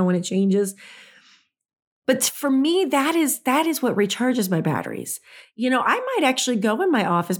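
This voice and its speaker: A raspy-voiced woman, talking as host of her own podcast. She chats casually and laughs often, and her voice sits in a middle pitch. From Midwest United States. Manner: chatty, laughs often, says y'all. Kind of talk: casual solo podcast chat.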